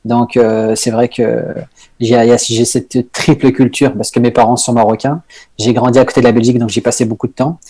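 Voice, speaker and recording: A man's voice: medium pitch, flat delivery, brisk, warm tone. Hesitant man, comedian chatting. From northern France. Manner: hesitant, many fillers and restarts, laughs often. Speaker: comedian guest. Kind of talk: casual podcast interview.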